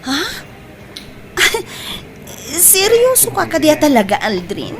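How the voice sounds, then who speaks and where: A woman playing a coy, easily flustered young bride-to-be, hesitant and drawn-out, in an Ilocano comedy drama. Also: high voice, wildly swooping pitch, over a noisy crowd, full character voice. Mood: doubtful. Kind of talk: Ilocano radio-style comedy drama acting.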